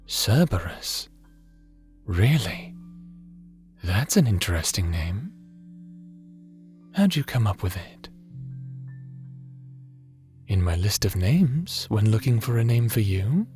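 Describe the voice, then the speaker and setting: A man playing a gentle, fatherly creator god with a hidden weariness in a scripted audio roleplay, soft-spoken and deliberate, sometimes slipping into a whisper. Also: lowish voice, very animated, faint music behind. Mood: tender, doting. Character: gentle fatherly creator god, tender mentor, secretly haunted and weary.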